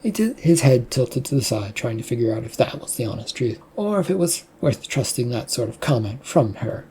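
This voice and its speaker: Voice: medium pitch, very animated, fairly quick, mellow, slight background noise. A narrator reading scripted prose fiction in the style of an audiobook. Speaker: story narrator.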